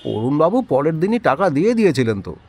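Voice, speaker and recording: A man's voice: fairly high pitch, very animated, fast, a little mellow, breathy edge, heavily acted. A man playing a nervous, fawning loan-recovery boss in an audio drama, often stammering and evasive.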